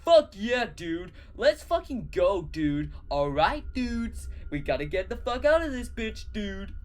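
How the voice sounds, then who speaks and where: Woman doing a booming frat-bro statesman. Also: sing-song, measured pace, faint music behind. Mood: hyped. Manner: booming, bro-ish yelling. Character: booming muscular frat-bro founding-father man.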